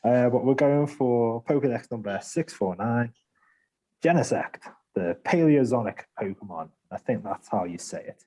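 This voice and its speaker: Mellow man, rambling gamer chat. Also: medium pitch, animated, unhurried, rough recording. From Liverpool, England. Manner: rambling, laughs a lot, self-deprecating. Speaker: podcast panelist. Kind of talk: casual gaming chat.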